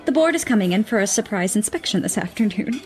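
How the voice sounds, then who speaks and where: An actress playing a brisk, wry woman scientist in an audio drama, wordy and dryly sarcastic. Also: mid-range voice, lively intonation, a little mellow, natural voice. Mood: exasperated.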